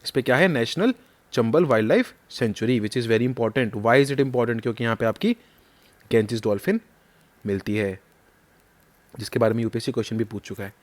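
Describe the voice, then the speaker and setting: A man teaches a map lesson for exam prep at a middle pitch. He quizzes the listener as he goes and repeats the key names. His throat is a little sore. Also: normal speed.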